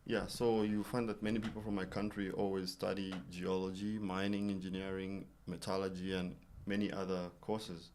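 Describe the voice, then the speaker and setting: A man in his thirties talks as a guest in a relaxed interview. His voice sits fairly low, and he explains things patiently with an easy, friendly laugh. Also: normal speed, few pauses, a little hiss or hum. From Zambia. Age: 30s. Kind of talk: casual interview.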